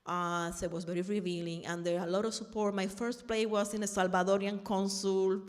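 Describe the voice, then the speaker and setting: A woman's voice: medium pitch, flat delivery, fairly quick. Middle-aged Puerto Rican woman, Spanish accent, earnest panel speaker; reverberant room. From Puerto Rico. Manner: earnest, searching for words, frequent restarts. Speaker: panel speaker, artist and activist. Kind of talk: personal talk at a panel.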